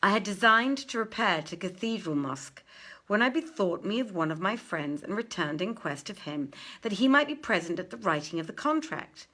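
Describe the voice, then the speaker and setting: Measured woman storyteller, deliberate and literary. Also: medium pitch, animated, sharp tone. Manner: measured, deliberate phrasing with dramatic pauses. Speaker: storyteller reading aloud. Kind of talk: expressive literary storytelling, old-fashioned prose read aloud.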